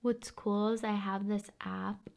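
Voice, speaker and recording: A woman talks softly in a casual ASMR style at a very unhurried pace. Her voice sits at an average pitch and often drops into a whisper. She rambles gently, leaving space between phrases. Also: level tone, vocal fry. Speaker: ASMR video host.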